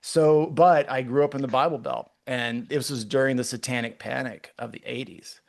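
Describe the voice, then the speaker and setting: A man's voice: medium pitch, steady tone, vocal fry. A man in his forties from Texas with a Texan accent, a guest artist chatting casually in an interview, rambling and restarting his sentences often. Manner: rambling, halting, many restarts.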